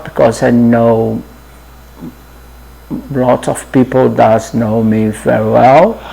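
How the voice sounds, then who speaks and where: A Brazilian man in his fifties with a Portuguese accent, introducing himself to viewers in a halting, friendly way, often trailing off with "yeah". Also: medium pitch, creaky, darkish tone, rough recording. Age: 50s. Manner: halting, many fillers and restarts, often adds "yeah". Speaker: channel host. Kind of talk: casual personal introduction.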